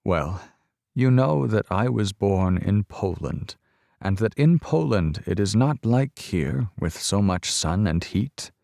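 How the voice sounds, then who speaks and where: A man voicing an elderly Polish-born grandmother, explaining slowly and softly to a child in an audiobook. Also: lowish voice, animated, creaky, no echo, clean studio sound, exaggerated voice. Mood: gentle. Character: elderly woman, ghost-seeing Polish-born grandmother, gentle.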